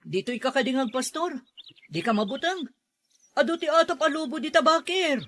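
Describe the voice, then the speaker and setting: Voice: high-pitched, lively intonation, normal speed, fairly bright tone. An actor playing a protective working miner, a man, in a Filipino audio drama. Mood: reassuring. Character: friendly working male miner, protective of a boy.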